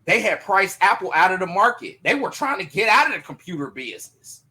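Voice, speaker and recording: A man chatting casually on a podcast panel in an animated, slangy, profane way. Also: high-pitched, a little fast, poor audio.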